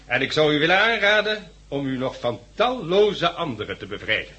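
A stern leader of survivors in a sixties radio drama. His man's voice is fairly high and forceful, swinging widely in pitch as he lays down rules in formal, emphatic oratory. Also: sing-song, normal speed. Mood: solemn, commanding. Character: stern male leader addressing survivors of a catastrophe.

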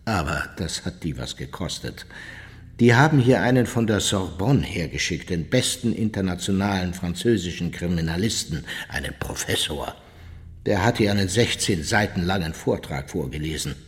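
An actor playing an older, unrepentant and boastful German ex-officer, reminiscing huskily in a documentary radio drama monologue. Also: male voice, deep voice, expressive, moderate pace, breathy edge. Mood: aggrieved, weary. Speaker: actor reading a war criminal's recollections.

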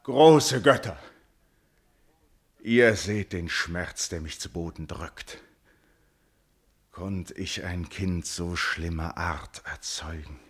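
An actor playing a wrathful, wounded royal father in a classical verse radio tragedy, declaiming solemnly and forcefully. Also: deep voice, takes it slowly, long pauses, breathy edge, heavily acted. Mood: bitter, grieving.

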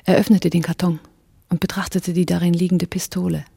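A cool crime-story narrator for radio. Her low, mellow voice is husky and stays flat and sober. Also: brisk, no room sound, clean studio sound, heavily acted. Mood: detached. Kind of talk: scripted radio drama narration.

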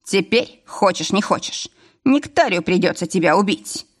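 A woman's voice: medium pitch, lively intonation, moderate pace, natural voice. A woman voicing a big, bold, jokey man in an audiobook, with a bantering, boastful manner. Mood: teasing.

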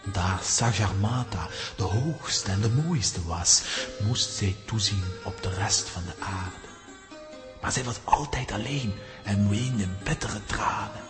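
An actor playing a gravely wise head lama of a mountain monastery, telling things in a solemn, formal, measured way for a children's audio drama. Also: male voice, on the low side. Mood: solemn storytelling. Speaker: character telling a mountain legend. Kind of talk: Dutch-language children's audio drama acting. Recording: long reverberant hall with music behind.

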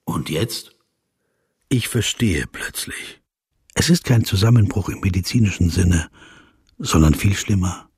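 A reflective man in a radio drama, with a very husky, lowish voice. He questions in a measured, insistent way, sceptical but compassionate, and narrates his own thoughts. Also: expressive, a little mellow, full character voice. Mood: thoughtful, probing. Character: reflective male interviewer of a famous man, probing, sceptical, compassionate.

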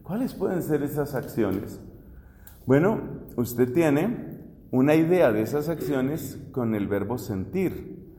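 A man's voice: mid-range voice, sing-song, slow, warm tone. A Colombian man in his fifties, a Dominican friar teaching a theology class, explaining step by step with questions and everyday examples. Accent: Colombian Spanish. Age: 50s. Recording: echoey hall with long reverb.

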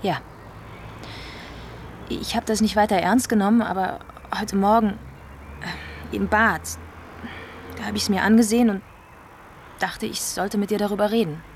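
Radio drama: woman to play an uneasy, defensive partner confiding haltingly, mid-pitched, natural, slight noise behind. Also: fairly even intonation, close to an everyday voice. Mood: nervous, hesitant. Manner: hesitant, defensive. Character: nervous, conflicted woman confiding in her partner.

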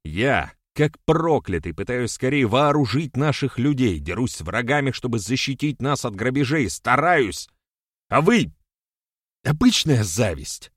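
Guy doing a hot-headed rebel warrior for an audiobook, sarcastic and declaiming, super animated pitch, dry sound. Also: measured pace, dry recording. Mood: heated, indignant. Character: hot-tempered defiant young warrior-sorcerer, a man quick to rage.

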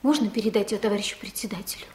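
A woman's voice: medium pitch, fairly even intonation, racing, darkish tone, natural voice. An actress playing a shy, earnest little village girl in a Soviet radio drama. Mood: shy, hopeful. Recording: echoey, faint noise.

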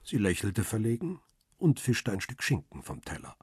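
A coolly observant male paparazzo telling his story in a radio crime drama. The voice is very raspy and fairly low, recorded close and dry. He narrates in a measured, descriptive way. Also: wildly swooping pitch, darkish tone, dry recording, exaggerated voice. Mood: detached, precise. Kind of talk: scripted first-person radio drama narration.

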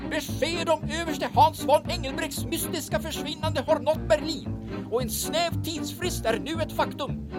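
A woman reading a dramatic scripted recap as the narrator of a serial. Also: high-pitched. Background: prominent music.

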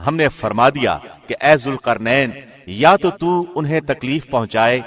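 Male presenter type, mid-range voice, measured and formal, scripted reading of sacred text translation. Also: mid-range voice, fairly bright tone. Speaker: translation reader, radio presenter. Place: studio.

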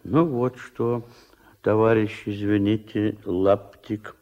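An actor playing a pompous, bossy, suspicious fishery boss in a radio play, with an officious, bureaucratic, blustering way of talking. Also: male voice, lowish voice, darkish tone, dull audio, exaggerated voice. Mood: stern, threatening. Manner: officious, bureaucratic, repeating catchphrases. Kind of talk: Soviet radio play acting.

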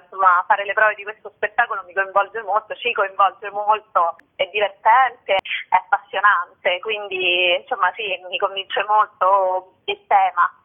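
A woman's voice: mid-range voice, fairly even intonation, measured pace. A woman actress answering interview questions about her stage work, thoughtful and hesitant, with many fillers and restarts. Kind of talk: casual spoken interview. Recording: phone-like narrow sound.

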